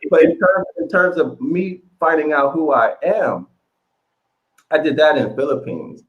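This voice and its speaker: A man's voice: fairly high pitch, expressive, measured pace, narrow, tinny audio. A man from the United States, a guest telling his story in a rambling way with many fillers over an online call. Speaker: interview guest, author. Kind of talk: casual storytelling interview.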